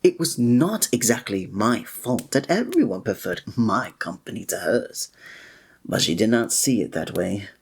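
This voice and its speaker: A man playing a flirty, breathy innkeeper. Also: on the low side, very animated, a little slow, full character voice. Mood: amused. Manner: formal old-fashioned wording with chuckling asides. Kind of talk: ASMR roleplay.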